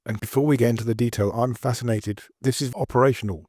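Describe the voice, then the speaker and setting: A man co-hosting a medical podcast, quickly and eagerly asking the guests questions. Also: mid-range voice, fairly even intonation, a little mellow, slightly rough, no room sound, clean studio sound. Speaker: podcast co-host. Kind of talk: interview questions.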